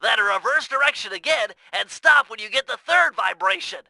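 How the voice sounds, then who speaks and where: Brainy little turtle gadget geek for a cartoon game, super high and thin, creaky edges, earnest and exact. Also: male voice, lively intonation, fairly quick, pushed and bright, no room sound, heavily acted. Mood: explanatory, focused. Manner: precise, wordy, geeky, earnest. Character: nerdy, brainy young male cartoon turtle, strategist and gadget whiz. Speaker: mission guide in a video game. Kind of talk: animated video game voice acting.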